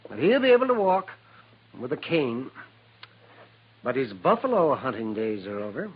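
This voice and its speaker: An actor playing a folksy, crusty frontier town doctor who mutters asides in a 1950s radio western. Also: male voice, medium pitch, sing-song, normal speed, slightly rough. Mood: matter-of-fact. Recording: dull old 1950s radio recording.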